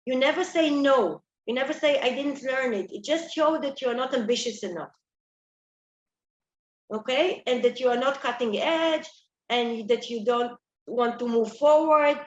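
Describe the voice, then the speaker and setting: High-voiced woman coaching job seekers. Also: moderate pace, reverberant, lo-fi sound. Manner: direct, coaching, repeats "okay?". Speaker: career workshop instructor. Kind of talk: workshop talk to job seekers.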